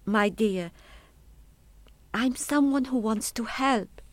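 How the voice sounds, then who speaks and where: A woman acting in a radio play as a bereaved wife, measured and insistent, controlled but obsessive about claiming a son. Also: a little high, no echo. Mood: measured, persuasive.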